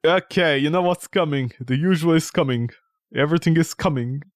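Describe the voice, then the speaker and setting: A man doing casual, animated commentary on a game, reacting with mocking disbelief and reading bits aloud. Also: a little high, fairly quick, soft background music. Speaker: gaming video host.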